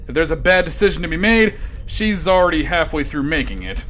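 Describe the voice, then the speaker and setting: A man's voice: on the high side, lively intonation, fairly quick, a bit bright, faint music behind. A man narrating a comedy radio drama with grand, theatrical delivery.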